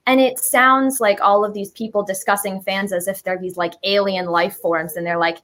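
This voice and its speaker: A woman's voice: medium pitch, fairly even intonation, moderate pace, fairly bright tone. Young woman chatting casually in an interview. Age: 20s. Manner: chatty, informal, hedging with "like" and "sort of". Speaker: musical writer and guest being interviewed.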